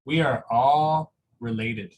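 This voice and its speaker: A man's voice: medium pitch, slow, darkish tone, rough recording. A man reading a picture book to school families and chatting about it in an encouraging, conversational way. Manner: encouraging, conversational, often checks in with "right?". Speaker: school reader addressing families. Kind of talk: picture-book read-aloud with casual commentary. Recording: noticeable background noise.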